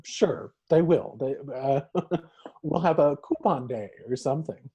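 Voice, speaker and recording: An actor playing a greedy, giddy lawyer in a table read of a film script, with a husky, mellow voice. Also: medium pitch, unhurried.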